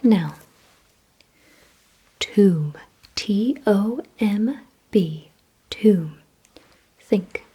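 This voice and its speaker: Breathy whispering ASMR woman, slow and deliberate. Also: medium pitch, expressive, low-quality recording. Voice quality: breathy, mostly unvoiced whisper. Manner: slow, deliberate, hushed delivery with short pauses. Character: calm, softly commanding female vampire examiner. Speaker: ASMR performer. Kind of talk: whispered ASMR roleplay, giving instructions and word games.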